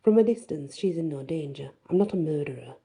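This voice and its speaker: A woman's voice: low voice, expressive, brisk, dark tone, slightly rough, heavily acted. A woman voicing a cocky, mischievous young male spellcaster in a fantasy story, with a teasing, flippant delivery. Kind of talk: fantasy audiobook dialogue.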